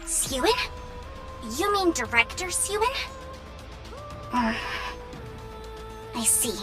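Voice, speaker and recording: Very high, excitable female operator. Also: very slow, over music, full character voice. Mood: flustered, resigned. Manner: scolding, excitable. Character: flustered, rule-minded young female mission operator. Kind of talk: dubbed game cutscene voice acting.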